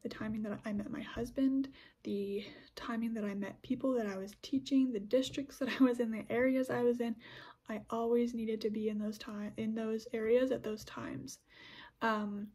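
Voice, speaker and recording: A young woman with a slightly high, breathy voice talks through her experiences on her podcast. She rambles casually, backtracking and restarting her sentences as she thinks aloud. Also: flat delivery, normal speed, dark tone. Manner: chatty, rambling with many restarts and fillers. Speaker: podcast host. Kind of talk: casual scripture discussion podcast.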